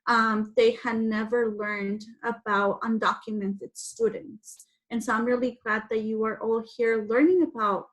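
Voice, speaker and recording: A woman's voice: a little high, steady tone, measured pace, few pauses, low-quality recording. A woman from Guatemala whose first language is Spanish, telling her personal story to children in simple, explanatory phrases. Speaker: guest speaker telling personal story to schoolchildren.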